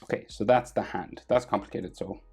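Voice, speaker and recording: An Irish man with a gravelly, husky voice, casually talking through a drawing lesson step by step and trailing off quietly as he works. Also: fairly low pitch, brisk, soft background music. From Ireland. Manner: relaxed, thinking aloud while drawing, trailing off quietly. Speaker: drawing tutor.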